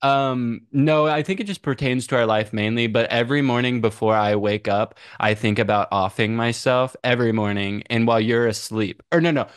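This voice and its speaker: A man's voice: medium pitch, moderate pace, few pauses, clear, resonant tone, no echo. A young American man in casual comedic podcast chat, rambling and irreverent, swearing freely into a close mic. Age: young adult. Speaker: podcast co-host. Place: small room indoors. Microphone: close podcast mic on boom arm.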